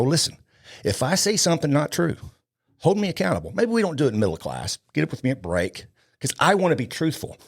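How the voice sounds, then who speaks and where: A man in his fifties talks with conviction as a podcast guest. He is blunt and emphatic, hammering points home by repeating his phrases, at an ordinary male pitch. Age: 50s. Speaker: podcast guest, law enforcement trainer. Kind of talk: impassioned interview talk.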